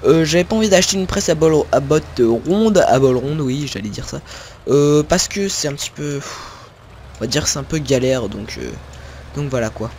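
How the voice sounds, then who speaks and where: A man's voice: a little high, animated, normal speed, a little mellow, slight background noise. A young man from northern France giving casual commentary on his own gameplay, rambling with many fillers and self-corrections as he talks to his viewers. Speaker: gaming video creator. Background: faint game sounds of tractors and farm ambience.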